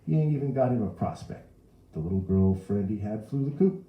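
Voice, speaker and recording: An older working-class New Orleans mother, put on by a male reader, pitched up from his own register. She chats and complains in a familiar, folksy storybook voice, with echo around it. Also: animated, brisk, narrow, tinny audio. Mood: complaining. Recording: roomy echo.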